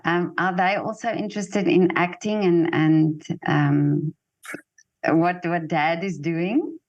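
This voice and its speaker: A woman's voice: fairly low pitch, steady tone, slow pace, dull audio. A woman host asking interview questions, hesitant and friendly.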